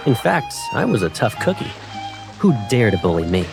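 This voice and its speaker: A man voicing an affectionate, protective young man who teases gently, in a fan dub of a romance game. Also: medium pitch, very animated, normal speed, creaky, music in the background. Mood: playful, reminiscing.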